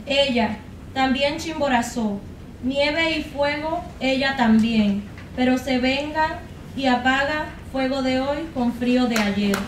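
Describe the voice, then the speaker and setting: A woman's voice: high-pitched, measured pace. A young woman giving a dramatic poetry recitation at a podium. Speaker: poetry contest reader. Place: college classroom, at a podium. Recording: echoey room, distant mic, faint noise.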